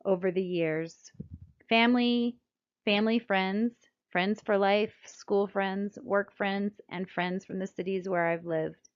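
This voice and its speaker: A middle-aged woman with an American accent reads aloud like a children's author presenting a book. Her pitch sits in the middle range and her delivery is deliberate and expressive. The recording is a bit dull and lo-fi. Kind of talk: scripted read-aloud storytelling and narration. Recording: dull, lo-fi sound.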